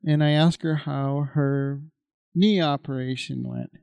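A man's voice: mid-range voice, fairly even intonation, unhurried, vocal fry, a little mellow, smooth, no room sound. A man in his fifties co-hosting a casual podcast chat, talking in a loose, rambling way with lots of fillers and asides. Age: 50s. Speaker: podcast co-host.

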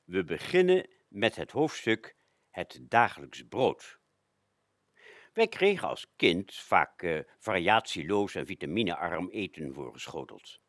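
A man presenting a radio show, narrating from a script with frequent hesitant pauses. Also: low-pitched, big pitch swings, a bit bright, dry recording, clean studio sound.

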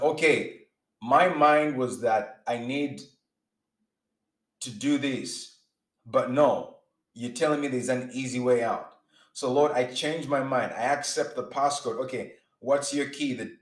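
A man's voice: medium pitch, roomy sound. A man giving a sermon-style teaching in an earnest way, often repeating words and restarting as he builds his points. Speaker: preacher.

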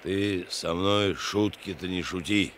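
An actor playing a menacing, hardened criminal killer in a radio drama, sneering and threatening. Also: male voice, sits low, takes it slowly, fairly bright tone, a little hiss or hum.

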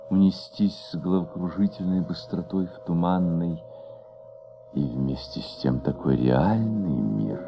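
A man's voice: very deep voice, exaggerated voice. A man narrating a literary radio play as the author's voice, reading scripted prose. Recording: studio radio recording under prominent music.

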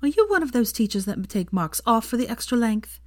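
A woman's voice: a little high, sing-song, a little fast, darkish tone, no room sound, clean studio sound, pitched above the performer's own voice. A woman voicing a bookish young female teacher who is exasperated and easily indignant, in a story reading. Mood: wry. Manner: muttering, indignant.

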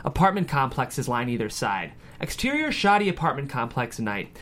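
A man's voice: medium pitch, big pitch swings, normal speed, fairly bright tone. A man reading scripted screenplay stage directions as the narrator of a table read.

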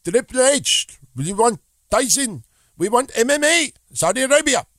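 A man's voice: extremely high pitch, big pitch swings, normal speed, fairly bright tone, no echo, studio quality, voice pushed up, heavily acted. A man putting on the voice of a pushy male bigwig who chants demands in clipped, broken phrases. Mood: demanding.

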